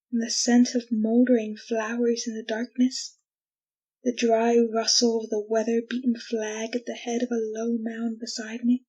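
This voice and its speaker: A haunted woman awaiting the gallows in a retro radio play. Her voice is high and a little husky, unfolding slowly and theatrically with desperate weight. The recording is slightly dulled. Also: dark tone, highs cut off. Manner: theatrical, slow, deliberate. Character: condemned innocent woman prisoner, desperate, haunted, telling her tale. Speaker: actor in a radio drama. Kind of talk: retro 1940s radio drama acting.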